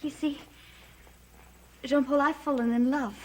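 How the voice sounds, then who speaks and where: High-voiced lovestruck young heroine. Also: female voice, fairly even intonation, a little slow, slight background noise. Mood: wistful. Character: lovestruck, defiant young woman in gothic mansion. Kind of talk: gothic soap opera acting.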